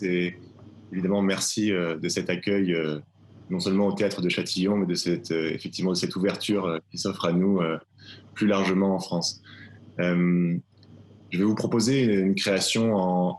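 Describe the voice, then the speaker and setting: A man presenting his stage adaptation live, speaking in measured, literary phrasing with frequent fillers. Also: deep voice, steady tone, a little hiss or hum. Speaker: theatre director presenting his play. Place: live video call.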